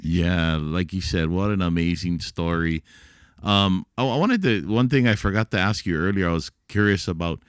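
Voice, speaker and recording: Man from hawaii, podcast host, deep smooth voice, friendly chatty questions, dry close sound. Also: moderate pace, no room sound. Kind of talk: casual interview.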